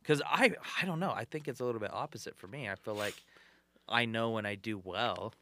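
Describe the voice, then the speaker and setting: A man who is a local comedian and photographer, chatting casually as a podcast guest, halting with fillers. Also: lowish voice, bright, forceful tone, dry recording. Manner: halting, lots of fillers and laughs. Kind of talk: casual chat.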